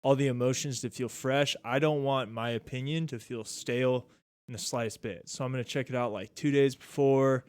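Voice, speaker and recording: Laid-back podcast host saying "dude" constantly. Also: male voice, medium pitch, fairly even intonation, moderate pace, smooth. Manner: laid-back, rambling, says "dude" and "like" a lot. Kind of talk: casual chat.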